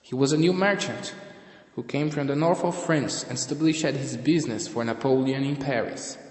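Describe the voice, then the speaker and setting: A man reading scripted story narration in a halting way, stumbling over some words. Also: mid-range voice. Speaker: narrator and reader of a fan audio drama. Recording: echoey room with long reverb.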